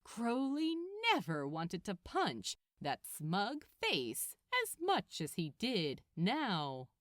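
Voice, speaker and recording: A woman narrates fiction in an expressive audiobook style. She reads at an unhurried pace with a very lively pitch range. The sound is dry, with little room in it. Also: dry recording.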